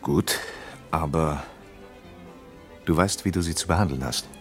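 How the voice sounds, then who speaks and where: An actor in a German radio play playing a reserved professional husband, terse, courteous and formal. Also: male voice, a bass voice, unhurried, faint music behind.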